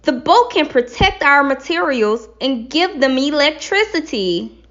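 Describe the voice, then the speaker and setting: A woman voicing an earnest schoolchild in a classroom play, with exaggerated, clearly enunciated delivery. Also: sits high, expressive, measured pace, a bit bright. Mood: eager. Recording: slightly dull lo-fi recording.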